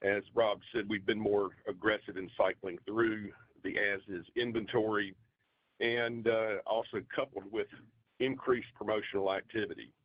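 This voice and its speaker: A man's voice: on the low side, fairly even intonation, normal speed, no echo. A man giving a scripted financial report on an earnings call as a company finance executive, in a formal, businesslike way. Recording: dull, dry conference call audio.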